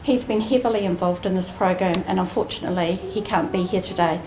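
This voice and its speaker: A woman giving a prepared ceremony speech as an executive, measured and earnest with deliberate emphasis. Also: lowish voice, darkish tone. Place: indoor ceremony room. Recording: roomy echoing venue, faint background noise.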